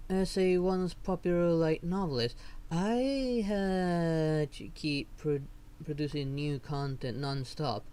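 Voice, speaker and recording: A streamer voicing a weary, earnest female novelist from a fantasy game in a halting, formal way. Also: mid-range voice, darkish tone, clear, resonant tone, close to an everyday voice. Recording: noticeable background noise.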